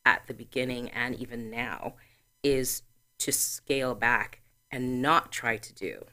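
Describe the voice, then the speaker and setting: A woman in her forties from Trinidad, a guest answering interview questions in a measured, explanatory way. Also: very deep voice, bright, forceful tone. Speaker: guest, sorority chapter president.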